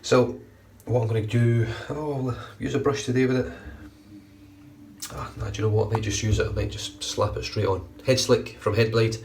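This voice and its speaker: A man's voice: mid-range voice, moderate pace, recorded from a distance, noisy background. A man in his thirties with a blocked, nasal voice, chatting casually through his shave for a grooming vlog, recorded on a phone in a small bathroom. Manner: chatty, relaxed, swears casually. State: congested, nasal. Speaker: shaving vlogger. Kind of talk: casual how-to commentary. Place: small bright bathroom at home. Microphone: phone front camera at arm's length.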